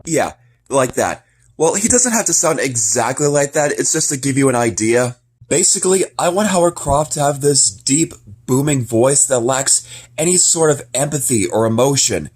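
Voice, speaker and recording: A man with an average-pitched voice addresses viewers in a casual, explanatory way. He sounds like a hobbyist creator laying out an announcement. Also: lively intonation, moderate pace, darkish tone, slightly rough. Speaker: amateur video creator and voice actor. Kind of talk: casual scripted-feeling vlog announcement.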